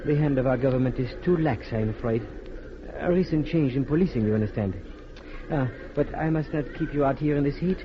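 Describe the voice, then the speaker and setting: An actor playing a suave Haitian government minister in an old radio drama, formal and ornately courteous, with a French accent. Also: male voice, medium pitch, a little fast, close to an everyday voice. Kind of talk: 1940s-50s radio drama acting. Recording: dull, noisy vintage radio broadcast.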